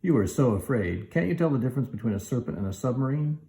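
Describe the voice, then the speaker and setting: A man's voice: medium pitch, expressive, fast, dark tone, roomy sound, lightly acted. A man reading a children's storybook, voicing an earnest, adventurous young boy. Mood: teasing surprise.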